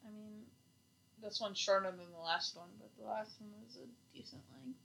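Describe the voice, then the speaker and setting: A woman co-hosting a podcast whose voice has a bright, sharp edge. She talks in a relaxed, casual way and keeps throwing in dry, teasing one-liners. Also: mid-range voice, normal speed, creaky, a little hiss or hum, rough recording. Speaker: podcast co-host. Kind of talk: casual chat.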